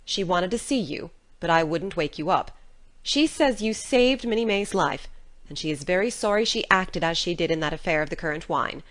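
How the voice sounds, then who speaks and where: A woman's voice: on the low side, lively intonation, normal speed, close to an everyday voice. A woman playing a stern, practical middle-aged farm guardian in an audiobook, with a dry, no-nonsense, scolding manner but a caring heart. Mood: matter-of-fact. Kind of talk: dramatic audiobook reading.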